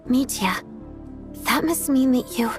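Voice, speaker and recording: An actress playing a gentle, inquisitive young woman in a video game cutscene, speaking in a reasoned, questioning way. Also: sits high, expressive, a little slow, music in the background. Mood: curious, serious.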